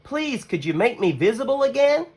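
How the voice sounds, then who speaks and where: A small young possum from a children's storybook, voiced by a man. The pitch sits high and moves about with earnest, polite pleading. The character sounds sweet and childlike. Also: sing-song, normal speed, darkish tone, husky, heavily acted.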